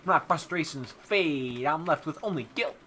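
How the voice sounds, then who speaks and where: A man's voice: fairly high pitch, expressive, normal speed, pushed and bright, a little hiss or hum. A young man hosting a let's play, joking casually and reading game text aloud. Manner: joking, bantering. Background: background music.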